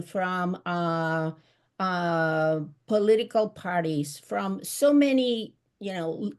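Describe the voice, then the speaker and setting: A middle-aged woman with an Argentine Spanish accent who talks at an unhurried, deliberate pace. Her pitch sits in an ordinary middle range. She answers interview questions earnestly, stopping often to search for the right word. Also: darkish tone. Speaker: author guest. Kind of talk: live video chat interview.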